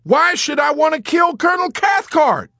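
A man's voice: on the high side, sing-song, normal speed, vocal fry, no room sound, studio quality, voice pushed up, heavily acted. A man voicing a self-important, stubborn airman in an audiobook, smug yet easily alarmed. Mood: terrified, roaring.

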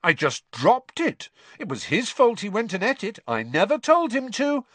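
Man playing a cheeky, high-voiced teenage prankster. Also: huge pitch swings, normal speed, no echo, exaggerated voice. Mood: defiant. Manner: bright, cheeky, defiant. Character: mischievous teenage wizard boy, cheeky prankster.

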